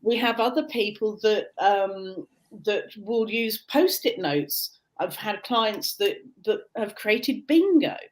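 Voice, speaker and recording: A woman's voice: mid-range voice, lively intonation, slow pace. A British woman, a coach, answering interview questions in an earnest, explanatory way with frequent restarts, over a video call. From Britain. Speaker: guest, neurodiversity coach.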